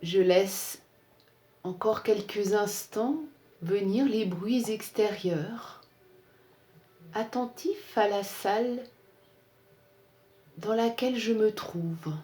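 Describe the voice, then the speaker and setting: Female meditation guide, soft-edged mellow tone, calm measured phrasing, recorded with noticeable room echo. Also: medium pitch. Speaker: yoga nidra instructor. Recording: roomy, echoing sound.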